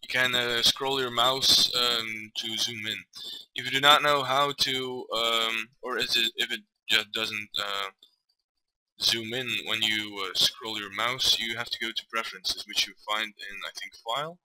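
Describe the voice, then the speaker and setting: A man from Belgium, hesitantly talking through a software tutorial with lots of fillers and self-corrections. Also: mid-range voice, steady tone, a little slow, rough recording. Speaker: tutorial maker. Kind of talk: casual screen-recorded software tutorial. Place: at a computer desk.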